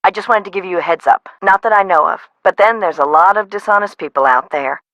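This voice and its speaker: A woman's voice: lowish voice, quick pace, fairly bright tone, no echo, lightly acted, rough recording. An actress voicing a frazzled, no-nonsense woman who runs an amusement park in a video game, conversational and dry. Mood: brisk, confiding. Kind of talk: scripted video game voice acting.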